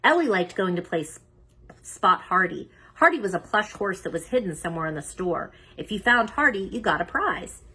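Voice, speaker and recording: A woman reading a children's story aloud as narrator, expressive and emphatic. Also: mid-range voice, moderate pace, slightly rough. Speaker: children's book reader.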